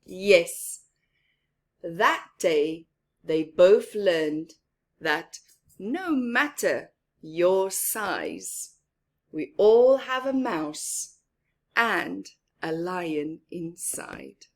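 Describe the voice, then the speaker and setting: A woman's voice: on the low side, big pitch swings, drawn-out words, pauses often. A woman reading a story aloud to young children, expressively, with simple explanatory asides. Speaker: children's story-time reader.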